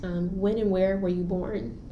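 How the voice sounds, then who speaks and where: A woman's voice: mid-range voice, little pitch movement, unhurried, highs cut off. A woman conducting a formal oral history interview, reading a structured preamble before asking questions. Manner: reads a formal preamble, then short acknowledgments. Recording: roomy echo, faint noise.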